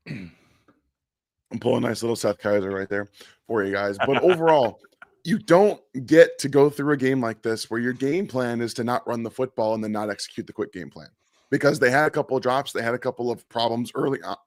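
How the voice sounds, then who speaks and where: A man's voice: medium pitch, dry recording, studio quality. A man co-hosting a sports analysis show while sick and losing his voice, blunt and repeating his key points. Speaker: co-host analyst. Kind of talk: post-game sports analysis.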